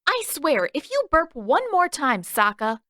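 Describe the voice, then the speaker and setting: A woman's voice: sits high, sing-song, a little slow, bright, forceful tone, no echo, studio quality, voice pushed up, heavily acted. A woman voicing a stubborn, exasperated teenage girl with an indignant, emphatic delivery in a story reading.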